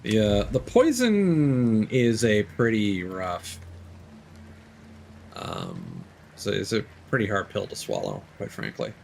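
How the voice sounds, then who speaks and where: Guy streaming a game, lowish voice that swings around a lot, rambly, noisy background with game sounds. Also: wildly swooping pitch, takes it slowly, creaky, a little mellow. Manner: rambling, self-correcting, casually profane. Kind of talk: live gameplay commentary.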